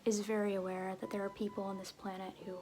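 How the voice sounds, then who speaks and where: A woman playing a flirtatious, roguish circus fool in a soft-spoken ASMR roleplay with whispers, theatrical and sly, with hesitant pauses. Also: mid-range voice, level tone, close to an everyday voice. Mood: showy, explaining.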